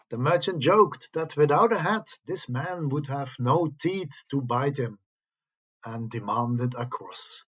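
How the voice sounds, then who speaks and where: A man reading scripted tales aloud as a storyteller, with a husky voice. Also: medium pitch, animated, slow, fairly bright tone, lo-fi sound. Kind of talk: slow scripted ghost story narration.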